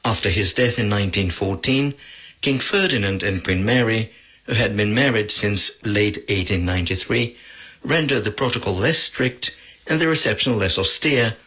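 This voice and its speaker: Male documentary-style radio presenter, bright forceful tone, mid pitch, digital shortwave broadcast sound. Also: rough recording. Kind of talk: scripted feature narration.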